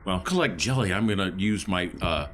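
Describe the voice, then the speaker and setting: A man playing a tabletop game, chatting casually and thinking aloud in halting restarts. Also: deep voice, lively intonation, background noise.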